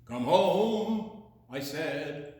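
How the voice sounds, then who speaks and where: A man's voice: a little high, animated, very slow, dark tone. A man telling a rhyming children's story playfully, stretching words into singsong and dropping in chatty asides and animal noises. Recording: very echoey room.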